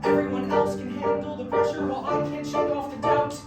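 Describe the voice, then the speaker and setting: A man's voice: very high voice, flat delivery, fairly quick. A man playing an anxious, self-doubting college music student in a musical theatre staged reading, with a hesitant, self-deprecating manner. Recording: echoey room from far off, piano accompaniment.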